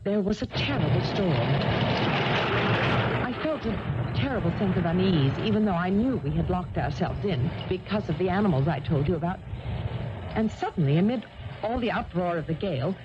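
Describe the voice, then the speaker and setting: An actress playing an uneasy young heiress recounting a dreadful night in a 1970s radio drama. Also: female voice, lowish voice, lively intonation, moderate pace, close to an everyday voice. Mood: tense, horrified. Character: uneasy young English heiress recounting her sister's death. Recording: dull, noisy archived radio broadcast.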